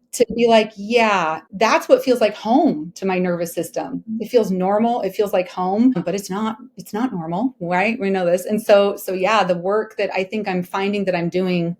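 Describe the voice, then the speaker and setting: A woman's voice: mid-range voice, a little mellow. A woman in her forties talking candidly and emphatically as a podcast guest, often checking that listeners agree. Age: 40s. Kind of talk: candid personal conversation.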